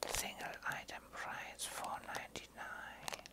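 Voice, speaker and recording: A woman doing a whispered ASMR show and tell, reading aloud haltingly in short phrases. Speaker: ASMR video creator. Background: crumpling, crinkling paper.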